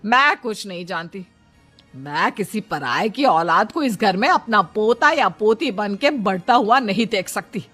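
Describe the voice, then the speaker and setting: A woman's voice: medium pitch, animated, a little slow, sharp tone, soft background music. A woman voicing a domineering, insistent older mother-in-law in a dramatic story. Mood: angry, scolding.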